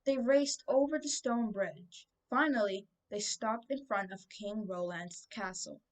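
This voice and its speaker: A woman's voice: mid-range voice, a little slow, far from the mic, rough recording. A twelve-year-old girl reading a children's storybook aloud as scripted narration. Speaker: book reader.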